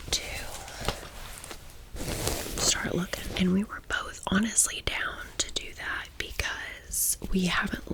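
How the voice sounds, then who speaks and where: A woman of thirty-five speaking in a breathy whisper, chatting informally and confidingly to the listener as an ASMR host. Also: lowish voice, rough recording. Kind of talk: whispered ASMR storytime, casual chat.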